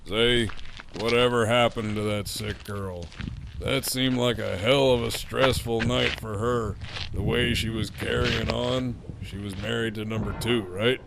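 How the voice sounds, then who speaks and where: An actor playing a smug, sadistic gang leader with mocking, deliberate menace in an audio drama. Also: male voice, fairly low pitch, fairly even intonation, gravelly, background noise.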